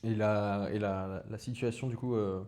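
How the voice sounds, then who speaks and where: A man's voice: on the low side, fairly even intonation, normal speed, darkish tone. A man hosting a casual interview, asking questions hesitantly with many fillers and restarts. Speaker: host, interviewer.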